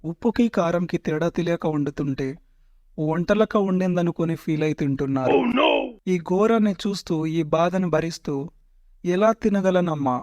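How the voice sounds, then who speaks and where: A man plays an aged, loyal royal servant with a theatrical, aggrieved manner. The voice is fairly high for a man and very dry, with comic mock tragedy. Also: moderate pace, darkish tone, no room sound, lightly acted. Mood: mock-tragic lament. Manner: mock-tragic lamenting. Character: long-suffering old royal servant, loyal, lamenting and theatrical. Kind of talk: Telugu parody cartoon dubbing.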